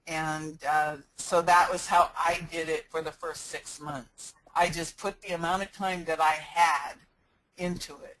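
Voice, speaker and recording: Casting: earnest business coach, loose live Q&A, rambling delivery, mid pitch. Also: steady tone, measured pace, fairly bright tone, low-quality recording. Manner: rambling, frequent restarts and fillers. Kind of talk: casual live Q&A talk.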